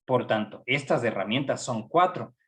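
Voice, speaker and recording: A middle-aged man with an Ecuadorian accent, a psychology professor giving a training lecture in a measured way, pausing often with fillers. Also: medium pitch, animated, fairly bright tone. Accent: Ecuadorian Spanish. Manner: measured, with frequent hesitations and fillers. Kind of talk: recorded online lecture.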